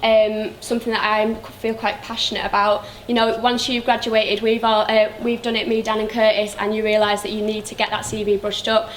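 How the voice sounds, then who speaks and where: A woman's voice: medium pitch, completely flat delivery, fairly quick, few pauses, slight background noise, poor audio. A young woman giving an upbeat campaign speech as a student union officer. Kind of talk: hustings speech.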